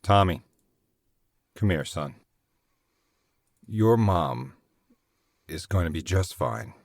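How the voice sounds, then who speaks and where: A man's voice: deep voice, expressive, takes it slowly, dry recording, clean studio sound, heavily acted. A man playing a grave, fatherly doctor in an audio drama, consoling but stern. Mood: somber. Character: somber, fatherly male doctor.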